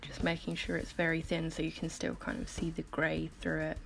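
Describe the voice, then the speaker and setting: A woman with a slightly low, gravelly voice, croaky as if she has just woken up. She narrates her art process casually and chattily, like an informal vlog voiceover, with music playing behind her. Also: monotone, brisk. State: croaky morning voice, just woke up. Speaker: artist making painting process videos.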